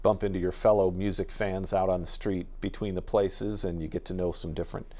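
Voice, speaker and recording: A man's voice: low-pitched, little pitch movement, brisk, dark tone. An American man talking casually as an interview guest from a radio station.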